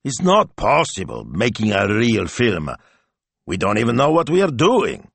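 A man's voice: fairly low pitch, wildly swooping pitch, a little slow, gravelly, fairly bright tone, breathy edge, no room sound, voice pushed up, exaggerated voice. A man voicing a doubtful, easily exasperated Italian husband in an audiobook, with a grumbling, hesitant manner.

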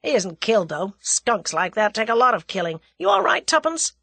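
A woman's voice: medium pitch, very animated, moderate pace, vocal fry, sharp tone, slightly rough, no room sound, pitched above the performer's own voice, full character voice. A woman voicing a brash, cool-headed young American man in an audiobook, with breezy, commanding, slangy delivery. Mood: breezy, wry.

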